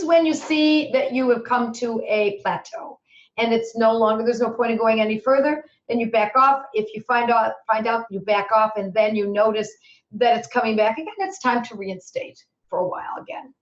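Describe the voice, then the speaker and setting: Middle-aged woman teacher, kinda high voice, chatty, a little hoarse, echoey dull webinar sound. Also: normal speed. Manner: chatty, animated, acts out quoted worries. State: slightly hoarse at times. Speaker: homeopathy instructor answering questions. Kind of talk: webinar Q&A answers.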